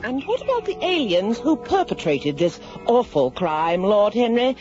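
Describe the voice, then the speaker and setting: A woman playing a haughty queen in a radio drama, regal, deliberate and pompous. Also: fairly high pitch, very animated, music in the background. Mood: imperious. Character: haughty, imperious British queen. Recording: muffled, noisy radio recording.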